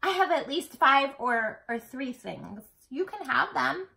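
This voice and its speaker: Storybook little brother played by a woman: high, childlike, eager and affectionate, pleading delivery. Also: very animated, measured pace, creaky, sharp tone, exaggerated voice. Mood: eager, generous. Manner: pleading, wide-eyed, childlike.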